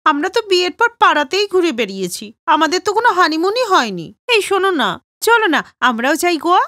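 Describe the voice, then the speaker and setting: A woman's voice: very high voice, very animated, fairly quick, fairly bright tone, no echo, clean studio sound, full character voice. A woman voicing a motherly but clingy and possessive elder sister-in-law in a cartoon story, chatty and insistent. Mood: wistful.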